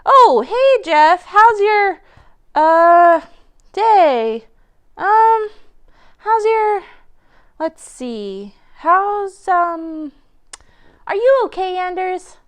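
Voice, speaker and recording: A woman's voice: very high-pitched, animated, drawn-out words, pauses often, fairly bright tone, voice pushed up, full character voice. A woman voicing a loyal male animal friend in a storybook, tactful and hesitant but ready to bluff boldly. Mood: awkward, hesitant. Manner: hesitant, stammering, then bluffing confidently.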